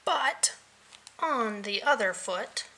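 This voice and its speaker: A woman reading a children's picture book aloud in an expressive, playful way, adding vocal sound effects. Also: fairly high pitch, slow pace.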